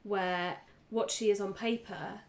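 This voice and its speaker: A woman's voice: mid-range voice, fairly even intonation, measured pace. A woman talking casually as a player on a role-playing podcast. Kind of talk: casual group chat.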